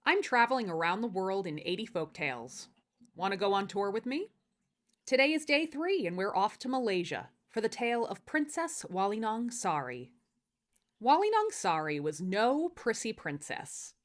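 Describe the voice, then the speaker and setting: A woman hosting and narrating folktales in an expressive, theatrical storytelling style made for social video. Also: mid-range voice, normal speed. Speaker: storyteller and series host.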